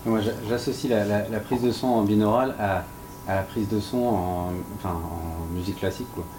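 Deep-ish guy on a panel, kinda hesitant, noisy room sound. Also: steady tone, normal speed. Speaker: sound professional on an expert panel. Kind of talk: spontaneous panel discussion. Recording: clear ambient room noise.

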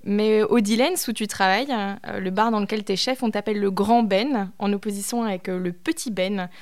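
A woman's voice: mid-range voice, steady tone, moderate pace. A woman hosting a podcast, speaking in an informal, conversational way as she presents and interviews. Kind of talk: scripted introduction and casual phone interview.

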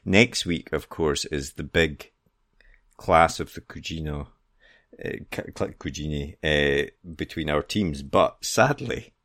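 A man with an extremely low voice chats casually as co-host of a football show. His speech is heavily creaky, dropping into a gravelly fry. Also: a bit bright. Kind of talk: casual sports chat.

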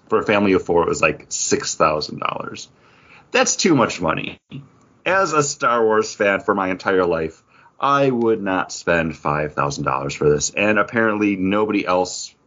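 A man's voice: sits low, fairly bright tone. A man talking casually as a podcast host, in dull lo-fi audio. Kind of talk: casual chat.

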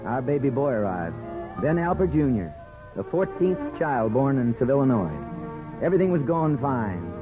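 Man acting earnest old-fashioned husband, sincere, halting, 1950s radio drama, muffled recording, faint music. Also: medium pitch, sing-song, close to an everyday voice. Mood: nostalgic narration. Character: earnest small-town Midwestern husband, devoted, old-fashioned.

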